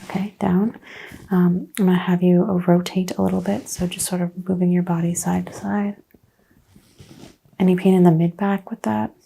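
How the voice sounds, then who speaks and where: A woman speaks softly as a chiropractor in an ASMR roleplay, her voice mellow and hushed, often slipping toward a whisper. There is some creak in it, at an average pitch, over faint background noise. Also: little pitch movement, moderate pace. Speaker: ASMR host playing a chiropractor. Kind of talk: soft-spoken ASMR medical roleplay.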